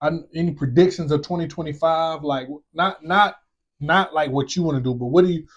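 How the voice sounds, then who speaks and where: A man's voice: a little high, a little fast. A man in his late thirties hosting a podcast, chatting casually in slangy banter and often repeating his phrases. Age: 30s. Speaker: podcast host. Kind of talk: casual chat.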